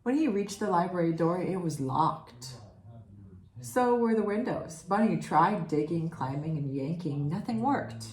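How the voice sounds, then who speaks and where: A woman reading a children's picture book aloud expressively, pausing to comment and put questions to her listeners. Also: on the low side, animated, darkish tone. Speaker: read-aloud storyteller. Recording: roomy echo, faint background noise.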